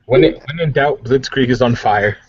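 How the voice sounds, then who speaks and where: A man's voice: mid-range voice, normal speed, husky, no room sound. Man player, dry lo-fi sound. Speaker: player in a tabletop roleplaying game. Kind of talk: casual game chat.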